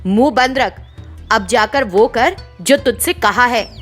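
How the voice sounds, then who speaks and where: A woman's voice: a little high, takes it slowly, fairly bright tone, soft background music. A woman voicing a domineering, wicked middle-aged mother-in-law who speaks in a curt, accusing, commanding way. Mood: harsh, commanding.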